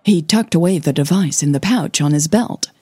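A woman reading scripted fiction as the narrator of an audio drama, in a measured, gentle manner. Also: low voice, husky, no room sound.

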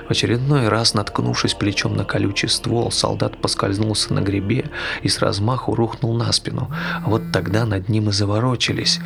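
A man narrating a scripted tale for a stage play in a hushed, measured, gravelly voice. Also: on the low side. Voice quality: gravelly, creaky. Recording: loud music under the voice. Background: loud music.

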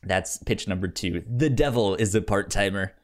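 A man co-hosting a podcast in casual chat, reading out pitches and often stammering over words as he reads aloud. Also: normal speed. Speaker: podcast co-host.

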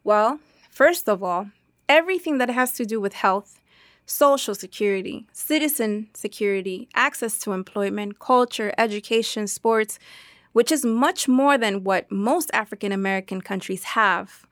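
A woman reading a dubbed translation of an interview answer in an articulate, deliberate, formal way. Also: medium pitch, a bit bright, dry recording, studio quality, natural voice. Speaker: voice-over translator dubbing an interview guest. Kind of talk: measured, read dubbed translation.

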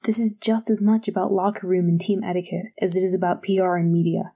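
A woman's voice: on the low side, little pitch movement, quick pace, dark tone. A woman voicing a cool, businesslike female team manager who speaks matter-of-factly.

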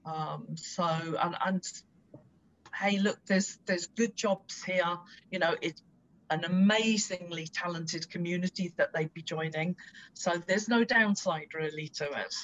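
A woman's voice: on the low side, a little slow, fairly bright tone, lo-fi sound. A woman with an Irish accent, a guest speaking in a casual, encouraging interview chat. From Ireland.

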